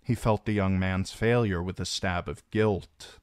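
A man narrates a novel chapter in a very deep voice. His reading is slow and measured, a steady storyteller's delivery. The recording is close and dry, with no room sound. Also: clean tone, no room sound. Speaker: audiobook reader.

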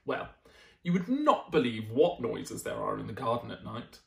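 A young man reading a bedtime picture book to children in an expressive, deliberate way. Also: medium pitch, vocal fry, far from the mic, slight background noise. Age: young adult. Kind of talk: animated children's story reading. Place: small indoor room, seated. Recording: roomy indoor echo.

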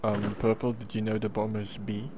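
A man's voice: on the low side, level tone, normal speed, over loud music. A man in online game voice chat, bossing his teammates around and calling out orders. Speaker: player in online match. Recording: gameplay clip with loud gunfire.

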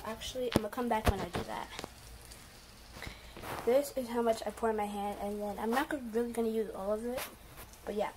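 Teen girl, bright voice, chatty home cooking demo with plenty of fillers, noisy phone recording. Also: a little high, fairly even intonation, a little fast, pauses often. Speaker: guest cook. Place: home kitchen. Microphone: handheld phone camera.

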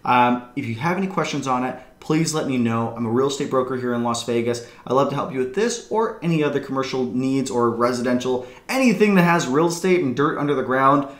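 Enthusiastic male broker, 30s, mid-pitched, chatty; webcam in a roomy-sounding office. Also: fairly quick, few pauses. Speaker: real estate broker. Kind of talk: upbeat property walkthrough video. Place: small room indoors.

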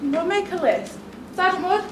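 Woman as a high, swooping, bossy royal. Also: animated, moderate pace. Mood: pondering. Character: bossy pantomime royal planning a christening. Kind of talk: stage pantomime acting. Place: theatre stage, filmed from the audience. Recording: echoey theatre recording, faint noise.

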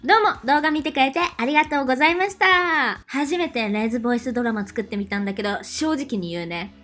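Young woman youtuber, high bubbly voice, casual giggly chat over music. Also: fast, fairly bright tone. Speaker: video creator and voice performer. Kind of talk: casual channel sign-off chat.

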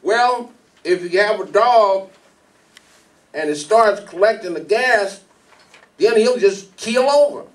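Man playing a high-voiced small-town lawman. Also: animated, slow pace, pauses often. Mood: skeptical. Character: small-town lawman questioning a suspect, skeptical. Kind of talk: radio mystery acting before a live audience. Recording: distant mic in a room.

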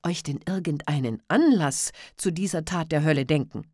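A woman's voice: low voice, moderate pace, a little mellow, no echo, clean studio sound. A woman voicing an elderly noblewoman poet, earnest and alarmed, in an audiobook reading. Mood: pleading.

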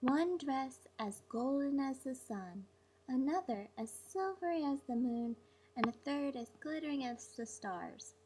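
Woman as young storybook princess, clever, pleading, raised pitch, faint noise. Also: lively intonation, measured pace, mellow, rough recording. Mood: determined.

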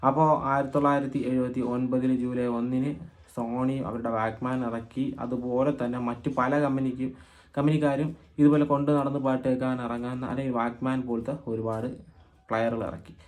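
A man from Kerala hosting an informal explainer vlog, speaking haltingly and often repeating phrases as he thinks aloud. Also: medium pitch, flat delivery, darkish tone. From Kerala, India. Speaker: video host and cassette collector.

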